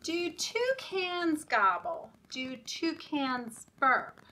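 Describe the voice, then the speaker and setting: Lady doing kids storytime, really slow and sing-songy, bright highish voice, bit far from the mic. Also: very animated. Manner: slow, deliberate, encouraging, asking children questions. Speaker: children's librarian leading story time. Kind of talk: sing-song story time for young children.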